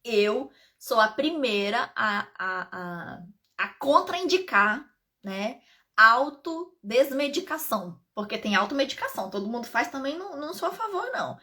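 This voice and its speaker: A Brazilian woman, an integrative therapist and pharmacist, chatting casually to live-stream viewers with a slightly hoarse voice and frequent asides. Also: fairly high pitch, big pitch swings, measured pace, bright, forceful tone.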